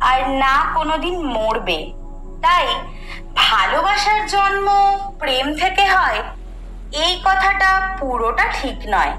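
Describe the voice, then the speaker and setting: An actress playing Friendship personified in a scripted audio story, a wise, firm peacemaker lecturing with emphatic, deliberate phrasing. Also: female voice, high-pitched, sharp tone, heavily acted. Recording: roomy echo, music bed underneath.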